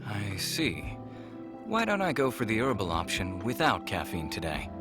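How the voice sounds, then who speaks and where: A man voicing a polite, mild, innocently curious spaceship passenger in a visual novel, speaking courteously and gently. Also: fairly low pitch, huge pitch swings, normal speed. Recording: music playing behind.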